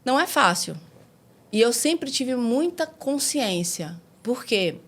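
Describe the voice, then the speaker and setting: A woman in her forties speaking with a Bahian accent, telling her own story in a casual and lively way. She is frank and drops slang and mild curses as she goes. Her voice sits a little on the high side. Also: slow. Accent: Bahian Portuguese. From Salvador, Brazil. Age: 40s. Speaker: guest.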